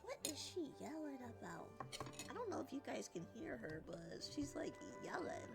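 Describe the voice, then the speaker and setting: Woman streamer with wildly swinging pitch. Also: very animated, moderate pace, creaky. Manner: playful, exclamatory asides. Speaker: game streamer. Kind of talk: casual reactive game stream commentary. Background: game music.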